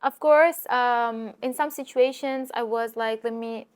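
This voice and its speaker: Lively woman giving language tips. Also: fairly high pitch, unhurried, clear, resonant tone. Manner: lively, direct, talks to viewers, frequent fillers. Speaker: language teacher and YouTuber. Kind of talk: casual vlog-style lesson.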